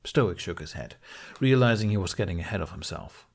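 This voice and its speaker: A man's voice: sits low, expressive, fairly quick, creaky, husky. A man reading scripted story narration as an audiobook narrator.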